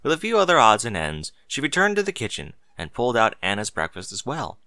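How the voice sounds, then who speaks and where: A man reading a story aloud as narrator, in an expressive scripted style. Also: mid-range voice, brisk, no room sound, studio quality.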